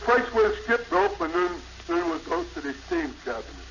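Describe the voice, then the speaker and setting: An actor playing a dazed, muddled, punch-drunk boxing trainer in a 1950s radio comedy. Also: male voice, very high voice, wildly swooping pitch, normal speed, gravelly, full character voice. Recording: muffled, noisy vintage radio recording.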